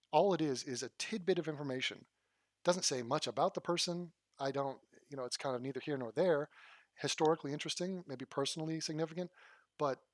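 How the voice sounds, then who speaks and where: A man's voice: medium pitch, dry recording. A man hosting his channel, giving blunt, rambling casual commentary and swearing freely. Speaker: channel host reacting to a clip.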